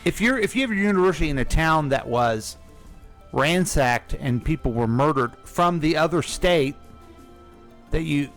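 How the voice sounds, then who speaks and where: Middle-aged male co-host, casual and chatty, lively swings in pitch, faint music bed. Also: normal speed. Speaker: radio co-host asking questions. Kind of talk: casual chat, interjecting and joking. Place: radio show recording.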